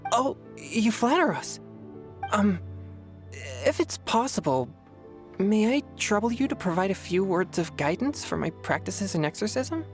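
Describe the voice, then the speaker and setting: A woman's voice: medium pitch, big pitch swings, a little slow, darkish tone. A woman voicing a modest, earnest young man in a game, polite and slightly hesitant. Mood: shy, earnest. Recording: voice over background music.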